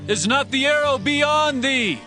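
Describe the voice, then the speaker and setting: A man's voice: extremely high pitch, animated, unhurried, over music. A man playing an earnest, impassioned young prince in a dramatized scripture reading, declaiming urgently in archaic English.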